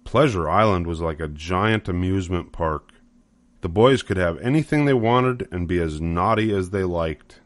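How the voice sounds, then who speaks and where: An older man reads a children's story as its narrator. His voice sits fairly low and is recorded close and dry, with scripted storybook phrasing. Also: lively intonation, moderate pace, no room sound.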